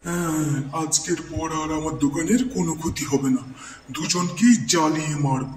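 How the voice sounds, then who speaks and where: A man's voice: on the high side, moderate pace, close to an everyday voice. A man playing a jealous, vengeful village stall owner in a cartoon, speaking emphatically and insinuatingly. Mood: vengeful, menacing. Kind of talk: cartoon moral-story dialogue. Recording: echoey, distant, faintly noisy hall sound.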